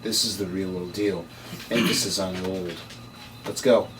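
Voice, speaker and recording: A man's voice: deep voice, fairly even intonation, moderate pace, fairly bright tone. A man playing a dry, world-weary occult investigator in a noir script table read. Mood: dry, knowing. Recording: distant mic, noisy room.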